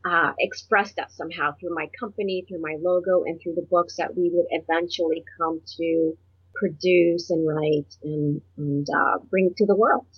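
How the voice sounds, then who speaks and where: Husky-voiced middle-aged woman from Puerto Rico. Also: fairly low pitch, fairly even intonation, moderate pace, dry recording, dull audio. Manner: earnest and reflective, laughs easily. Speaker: guest, author and publisher. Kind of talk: personal storytelling in an interview.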